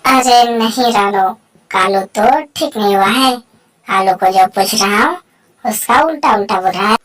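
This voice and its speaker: A woman's voice: medium pitch, a little slow, a bit bright, lightly acted, low-quality recording. A woman voicing a fretful, scolding crow wife in a cartoon story, complaining and repeating herself. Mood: worried. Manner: repetitive, complaining. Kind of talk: Hindi animated bird story dialogue.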